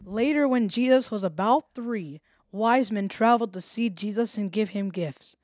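A woman's voice: mid-range voice, normal speed, darkish tone, dry recording, clean studio sound, faint music behind. A woman hosting a children's podcast, narrating and discussing a Bible story. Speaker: podcast host.

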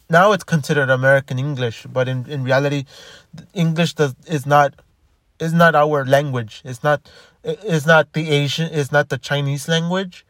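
A man with a slightly high voice rambles through a casual monologue, stumbling over his words and starting sentences again. The sound is dry and close, with no room echo. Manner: rambling, frequent restarts and repeated words. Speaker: commentator.

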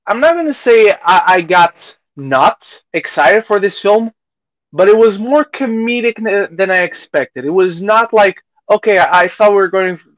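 Higher-pitched man in casual film discussion. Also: no echo, poor audio. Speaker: podcast co-host.

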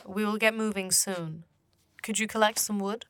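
A woman playing a calm otherworldly guardian and guide of the dead in a fantasy audio drama, with formal, measured phrasing. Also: mid-range voice, smooth, no room sound, clean studio sound, lightly acted. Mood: calm, assured. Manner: measured, formal, avoids contractions.

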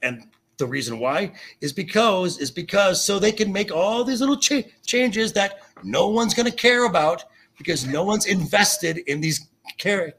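Raspy, forceful man arguing his point. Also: high-pitched, animated. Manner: insistent, halting, repeats himself. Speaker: podcast guest. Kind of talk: heated opinionated film and TV discussion.

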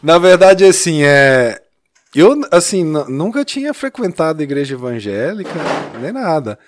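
A man in his late thirties with a Mineiro accent, from Minas Gerais. He tells his story in a loose, chatty way, laughing easily and adding "né?" as he goes. His voice sits slightly high for a man. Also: sing-song, moderate pace, background noise. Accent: Mineiro Brazilian Portuguese. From Minas Gerais, Brazil. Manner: chatty, laughs often, many "né?" tags. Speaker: church youth leader, podcast guest. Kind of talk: casual storytelling chat.

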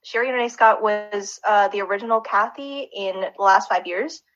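A woman who is a finalist guest, chatting excitedly in a casual way. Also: mid-range voice, moderate pace, highs cut off. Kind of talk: casual chat.

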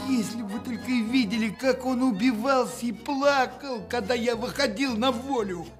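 An actor playing a naive, eager petty crook in a radio play, earnest and guileless. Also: male voice, extremely high pitch, moderate pace, over music. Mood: earnest, confiding. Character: naive, eager, simple-hearted petty crook, male sidekick.

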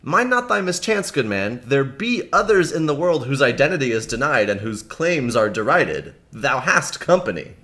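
A man voicing a gentle, solemn boy king in a storybook reading, speaking in grave archaic lines. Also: medium pitch, sing-song, normal speed, few pauses, pitched above the performer's own voice.

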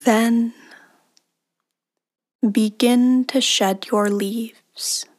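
A woman with a fairly high voice guides a meditation at an extremely slow pace. Her delivery is even and soothing, with long pauses between short phrases. The ends of her phrases soften into breath. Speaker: meditation guide, podcast host.

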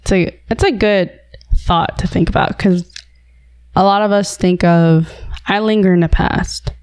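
A woman's voice: mid-range voice, steady tone, measured pace, darkish tone, smooth, dry recording. A woman hosting a casual conversation, asking questions and musing on memories and money. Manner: reflective, interviewer asking questions. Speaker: host.

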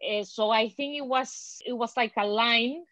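A woman's voice: fairly high pitch, measured pace, fairly bright tone, no room sound. Hesitant Chilean woman guest. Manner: hesitant, many restarts and uh's. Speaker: podcast guest. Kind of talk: casual interview answers.

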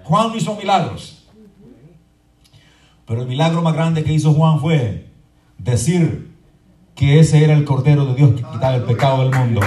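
A man preaching a fervent sermon as pastor. His voice sits high and rings through a reverberant room, heard from some distance off the mic. A faint murmur of people hums behind him. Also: moderate pace, pauses often. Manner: fervent preaching that swings between quiet explaining and shouted proclamation; often asks the congregation "¿verdad?". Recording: echoey room from far off with faint crowd noise.

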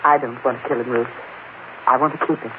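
An actress playing a proud, possessive wronged wife in 1940s radio drama style, dramatic and resolute. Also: female voice, a bass voice, fairly even intonation, quick pace. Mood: tense, resolute. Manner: dramatic, theatrical. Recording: scratchy, tinny old radio broadcast.

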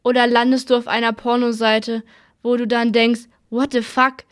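A woman's voice: fairly high pitch, level tone, normal speed. A woman in a radio play musing aloud in a reflective monologue full of questions.

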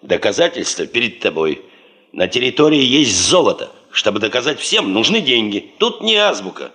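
A man's voice: mid-range voice, very animated, normal speed, bright, forceful tone. An actor in a Soviet radio play as a driven, stubborn male geologist chief, terse, insistent and commanding. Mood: pressing, resolute.

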